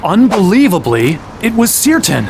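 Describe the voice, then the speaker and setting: A man's voice: high-pitched, huge pitch swings, normal speed, vocal fry, very noisy, exaggerated voice. An actor playing an exasperated, sarcastic young hero who narrates his own quest in a fantasy audio drama. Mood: narrating. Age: young adult. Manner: wry, exasperated. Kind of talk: first-person audio drama narration and dialogue.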